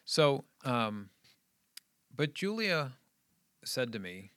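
A man's voice: medium pitch, big pitch swings, very slow, a bit bright, no echo. A middle-aged man talking casually and earnestly about his schooling and family, often trailing off. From New England, USA. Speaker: guest. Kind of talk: casual conversation.

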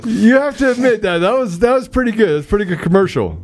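A man with a fairly high voice and very animated delivery hosts a podcast in a casual, joking style. He teases and chuckles often, with faint noise behind him. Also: quick pace. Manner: teasing, jokey, often chuckling. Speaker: podcast host.

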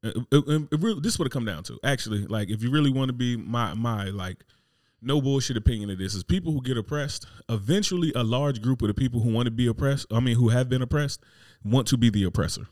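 A man's voice: medium pitch, quick pace, darkish tone, no echo. A man talking casually and animatedly in slangy speech, full of rhetorical questions. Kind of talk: casual chat.

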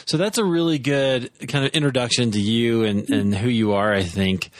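A man's voice: mid-range voice, normal speed, dry recording. A man hosting a podcast, reacting with short affirmations and asking questions. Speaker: podcast host. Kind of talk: conversational interview.

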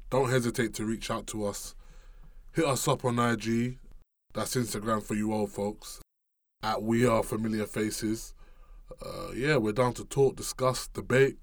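A young man hosting a podcast, asking questions casually and wrapping up the show in relaxed slang. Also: lowish voice, dry recording, clean studio sound. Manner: laid-back slangy talk. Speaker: podcast host. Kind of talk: casual interview and show outro.

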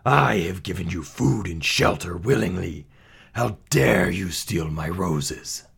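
A very low, growling storybook beast, acted out by a male narrator, imperious and menacing. It draws its words out slowly and gives orders in a snarl. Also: vocal fry, breathy edge, heavily acted. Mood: furious. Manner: slow, drawn-out, commanding.

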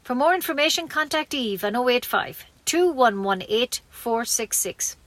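Irish female community newsreader, bright and forward, slightly high pitch, dry close sound, scripted delivery. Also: expressive, normal speed, no echo. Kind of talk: scripted local news reading.

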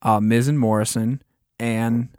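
A man's voice: medium pitch, fairly even intonation, a little slow, a little mellow, clean tone, no echo, studio quality. A man co-hosting a podcast in casual chat, slightly losing his voice and recapping with many ums and restarts. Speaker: podcast co-host.

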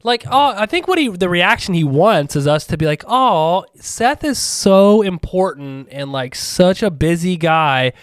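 A man's voice: high voice, a little slow, few pauses, smooth, no room sound. Casual profane young man, dry mic. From Ohio. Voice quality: a bit nasal. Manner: profane, animated storyteller, calls everyone dude. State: recovering from a sore throat. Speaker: podcast co-host. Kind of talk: casual chat.

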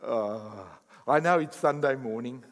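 A man preaching a sermon as pastor, speaking English as a second language, with emphatic rising bursts and quick asides. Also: mid-range voice, big-room sound. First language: not English.

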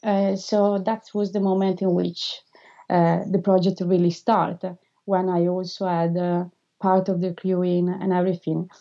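Mellow-voiced Italian woman, hesitant. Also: on the low side, little pitch movement, measured pace, dull audio. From Italy. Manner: hesitant, frequent filler sounds, non-native phrasing. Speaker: filmmaker being interviewed. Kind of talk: casual interview answers.

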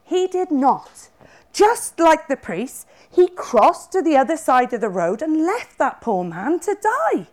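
A woman voicing Jesus as a calm, firm, kindly storyteller who teaches gently in a children's Bible storybook reading. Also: high voice, a little slow.

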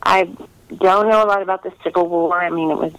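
A middle-aged woman giving earnest, conversational interview answers, pausing often to find her words over a remote line. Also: on the low side, steady tone, clear, resonant tone, no room sound, rough recording. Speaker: interviewed historical novelist.

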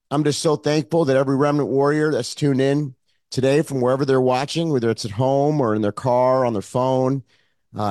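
Man, pastor, hoarse froggy voice, mid pitch, earnest conversational sermon, dry sound. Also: a little mellow, dry recording. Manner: earnest, conversational preaching with frequent fillers. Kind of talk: online Sunday sermon.

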